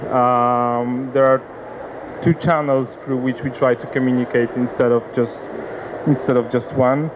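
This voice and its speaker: A man with a Polish accent giving a seminar talk, hesitant, with many pauses and restarts. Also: mid-range voice, noisy background. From Poland. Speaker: conference speaker. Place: conference hall.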